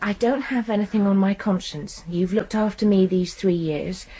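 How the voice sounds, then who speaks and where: An actress playing a quiet, resolved young woman in a radio drama, speaking softly. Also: medium pitch, moderate pace, slightly rough, close to an everyday voice. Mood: resigned. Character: young woman leaving her lover, calm and resolved. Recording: radio drama, faint background noise.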